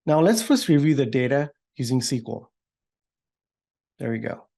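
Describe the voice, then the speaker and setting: A man giving an informal, upbeat software demo walkthrough as a developer advocate. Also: medium pitch, expressive, normal speed, vocal fry. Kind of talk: tech demo walkthrough, semi-scripted.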